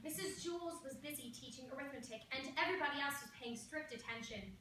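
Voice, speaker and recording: Female stage narrator, high and bright, scripted storytelling, recorded at a distance. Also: quick pace. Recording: very echoey room, distant mic, faint noise.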